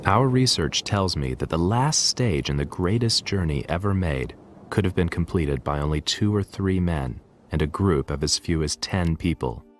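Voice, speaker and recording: A man giving measured, earnest scripted narration for a documentary. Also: sits low, lively intonation, slight background noise. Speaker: documentary presenter. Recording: voice-over with loud music behind.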